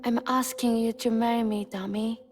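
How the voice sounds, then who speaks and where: A woman playing a tender, sincere young woman in a cinematic video game, speaking softly and earnestly. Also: a little high, steady tone, normal speed, natural voice. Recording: music behind the voice.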